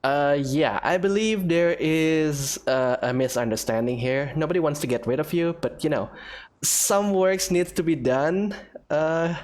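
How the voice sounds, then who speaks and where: A woman's voice: low-pitched, unhurried, darkish tone. A woman giving casual, offhand let's play commentary as host of a gaming video, a little hesitant. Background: game music.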